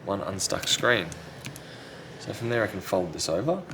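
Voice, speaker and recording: A man's voice: on the low side, expressive, normal speed, vocal fry, noisy background. A man talking casually and thinking aloud as he presents a hands-on repair tutorial. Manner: unscripted, thinking aloud while working. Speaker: repair technician, video host. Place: indoors at a repair workbench.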